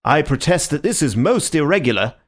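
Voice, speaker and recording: A man voicing an indignant, forceful doctor who protests sharply. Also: a little high, big pitch swings, fairly quick, pitched above the performer's own voice. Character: indignant, fierce male doctor.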